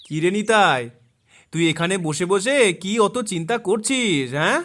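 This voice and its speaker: A high-pitched male voice plays a friendly young villager in a cartoon. He is inquisitive and reassuring. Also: lively intonation, moderate pace, a bit bright. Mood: curious.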